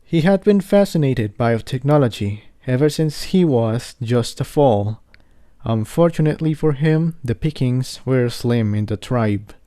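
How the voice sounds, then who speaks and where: A man reading an adventure story aloud as narrator, in a measured, earnest way. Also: mid-range voice, expressive, mellow, clear, resonant tone, dry recording, studio quality. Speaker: amateur fan fiction reader.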